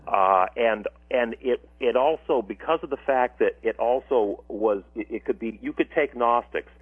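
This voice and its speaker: A man's voice: medium pitch, moderate pace, slightly rough. A male author being interviewed on radio, speaking in thoughtful, discursive sentences with frequent restarts and fillers. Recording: tinny phone line.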